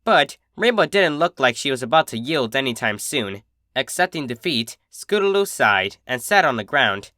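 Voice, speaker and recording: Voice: mid-range voice, big pitch swings, moderate pace, pushed and bright, dry recording, clean studio sound. A narrator reading a scripted story aloud. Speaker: fan fiction reader and narrator.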